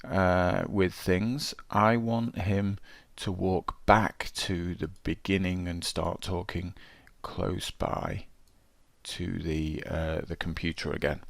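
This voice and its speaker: Male tutorial presenter, very low register, dry close sound, informal and thinking aloud. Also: steady tone, takes it slowly, gravelly, no room sound. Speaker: game development tutorial presenter. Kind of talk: casual screencast walkthrough, thinking aloud.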